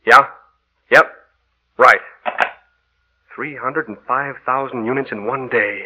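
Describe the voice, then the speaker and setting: Sharp, greedy businessman. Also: medium pitch, takes it slowly, slight background noise. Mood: brisk. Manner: curt, self-satisfied. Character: greedy, callous, boastful businessman. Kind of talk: 1940s radio acting. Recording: dull old radio recording.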